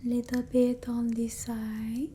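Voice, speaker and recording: A woman's voice: on the high side, monotone, a little hiss or hum. A woman voicing a fussy, condescending female vampire in ASMR roleplay, mostly whispered, close to the mic. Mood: doting, fussy. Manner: halting, fussy, gently bossy, blunt. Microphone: close ASMR microphone.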